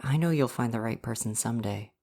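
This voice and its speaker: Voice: mid-range voice, fairly quick, lightly acted. A performer playing an affectionate visual novel boyfriend in an ASMR roleplay, earnest and reassuring. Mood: reassuring, tender. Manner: soft-hearted, reassuring, earnest. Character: gentle, affectionate dating-sim boyfriend come to life. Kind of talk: ASMR roleplay, personal affirmation.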